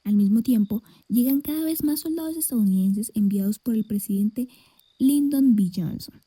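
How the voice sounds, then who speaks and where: A woman playing a grave news reporter, with husky, breathy, formal scripted narration. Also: fairly high pitch, moderate pace, dark tone, dry recording.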